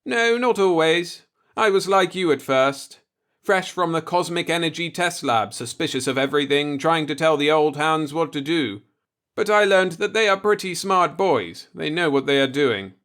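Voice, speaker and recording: A man's voice: a little high, expressive, moderate pace, fairly bright tone, pitched above the performer's own voice. A man voicing an easygoing, self-assured veteran first officer of a spaceship in a sci-fi audiobook, speaking casually and expansively. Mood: easygoing, advising.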